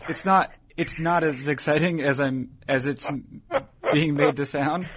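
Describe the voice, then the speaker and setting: A man hosting a podcast, talking in a wry, halting way full of fillers, sounding sleepy early in the morning in a hotel room. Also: a little high, a bit bright, lo-fi sound. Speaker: podcast host. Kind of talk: rambling podcast intro and chat.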